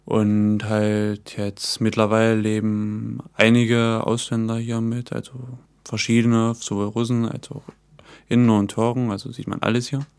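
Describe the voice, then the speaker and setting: A man's voice: lowish voice, completely flat delivery, a little slow, darkish tone, clear, resonant tone, dry recording. A man giving a casual interview in an offhand manner with filler words.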